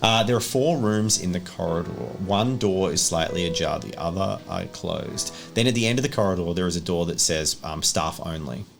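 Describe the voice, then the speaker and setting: A man acts as game master for a tabletop session. He narrates and explains rules off the cuff in a fairly low voice. Soft music plays underneath. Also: expressive, normal speed, creaky, hardly any pauses.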